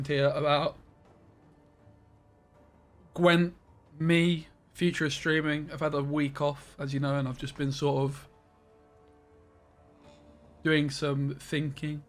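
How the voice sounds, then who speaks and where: A young man rambling through a live game stream from his bedroom, his voice sitting a touch high. He greets chat by name in a loose, chatty way, with faint game music underneath. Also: fairly even intonation, a little slow, pauses often.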